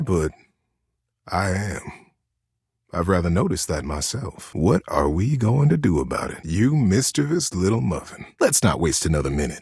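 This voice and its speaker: A man voicing a devoted, sentimental teddy bear in a video game, talking in a gentle, folksy, earnest way with little stammers. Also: lowish voice, slightly rough, dry recording, studio quality, exaggerated voice. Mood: tender, flirty. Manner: folksy, earnest, cozy wording with hesitant stammers. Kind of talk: video game character acting.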